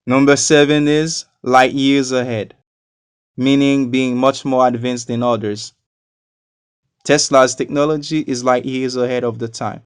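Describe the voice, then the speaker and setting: A man from Haiti, a non-native English speaker, giving a scripted English lesson with deliberate, explanatory enunciation. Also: mid-range voice, fairly even intonation, clear, resonant tone, dry recording, clean studio sound. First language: not a native English speaker. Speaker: English teacher. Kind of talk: scripted educational narration.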